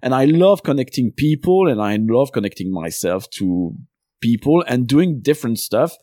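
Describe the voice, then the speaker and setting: A man's voice: mid-range voice, sing-song, normal speed, warm tone, no room sound, clean studio sound. A man from Belgium whose first language is French, speaking English with a Belgian French accent as a guest in a casual interview, talking at length with many filler sounds. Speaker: podcast host and game designer guest.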